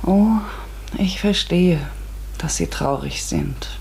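A woman's voice: on the low side, slow pace, creaky, warm tone, slight background noise. An actress playing an earnest, inquisitive and easily bewildered woman in a radio play, speaking tentatively. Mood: probing, sympathetic. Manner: tentative, questioning. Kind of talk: German radio play acting.

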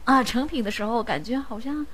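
A woman's voice: fairly high pitch, brisk, fairly bright tone, rough recording. An older woman chatting casually as a guest, rambling and questioning herself as she goes. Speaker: amateur voice actress, guest. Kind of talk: casual chat.

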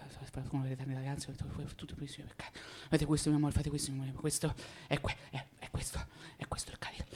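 An actor playing a mock priest saying mass in radio theatre, stammering, repeating himself and half whispering. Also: male voice, fairly high pitch, noisy background, close to an everyday voice. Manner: halting, repetitive, half-whispered. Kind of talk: stylized radio theatre acting.

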